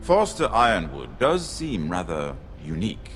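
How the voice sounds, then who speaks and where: A man's voice: low-pitched, wildly swooping pitch, moderate pace, music in the background. An actor playing a shrewd, worldly nobleman in a game drama, droll and knowing, with a drawling delivery. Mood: wry, pointed.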